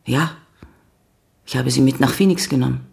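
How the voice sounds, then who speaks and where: An actress playing a candid, unwavering avenging woman who confesses to police in a radio crime drama, speaking with calm, earnest deliberation. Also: extremely low pitch, normal speed, creaky, full character voice. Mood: composed, matter-of-fact.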